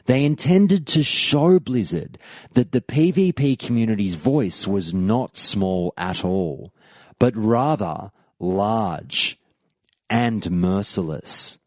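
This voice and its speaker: A man in his thirties tells a history story from a script. His mellow voice swings widely in pitch, and the room is dry and close. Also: big pitch swings, slow pace, no echo. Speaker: podcast host.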